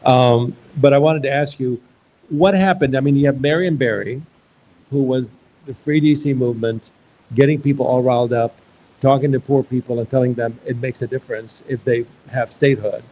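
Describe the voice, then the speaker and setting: A man's voice: mid-range voice, fairly even intonation, normal speed, dark tone, lo-fi sound, slight background noise. A man originally from Iraq, hosting a live onstage conversation and asking questions in a loose, thinking-aloud way with restarts. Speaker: host and interviewer.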